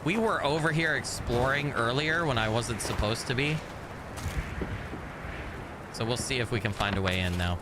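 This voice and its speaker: An American man streams a game and chats with viewers, with a faint regional accent. He rambles and often restarts his sentences. His voice sits at an ordinary middle pitch, and faint outdoor ambience runs underneath. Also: moderate pace, pauses often, very noisy. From United States. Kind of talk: casual gameplay chat with viewers.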